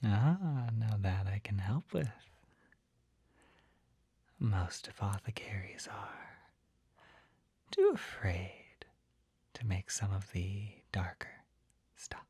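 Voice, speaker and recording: An actor voicing a shy, flustered, gentle poison-selling apothecary in a soft, breathy, hesitant whisper for an ASMR roleplay. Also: sits low, dry recording, exaggerated voice. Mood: soft, intrigued. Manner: hesitant, halting, apologetic, easily embarrassed.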